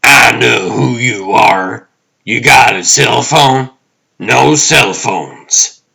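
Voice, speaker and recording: A paranoid, excitable roommate, played by a man in a comic dub. The voice is pushed up in pitch, loud and insistent, with a sharp husky edge. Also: drawn-out words, heavily acted. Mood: suspicious, agitated. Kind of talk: comic dub character acting.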